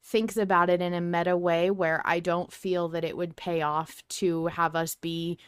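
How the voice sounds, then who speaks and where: A woman's voice: lowish voice, flat delivery, normal speed, clear, resonant tone, no room sound, studio quality. A woman hosting a podcast, talking through ideas in a casual, informal and emphatic way. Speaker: podcast host. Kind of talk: casual fan discussion podcast.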